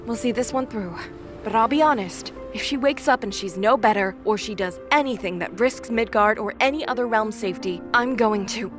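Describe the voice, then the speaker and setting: A woman's voice: fairly high pitch, moderate pace, music in the background, lightly acted. A woman voicing a practical young warrior girl in an audio drama, matter-of-fact and wry. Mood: reluctant, firm. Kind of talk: audio drama character acting.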